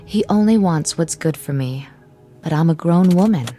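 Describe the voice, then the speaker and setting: An actress playing a dutiful, independent grown daughter in a TV drama, speaking softly and politely. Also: female voice, fairly low pitch, animated, moderate pace, faint music behind, close to an everyday voice. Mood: gentle, curious. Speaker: actor in a television drama. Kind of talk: scripted TV drama acting.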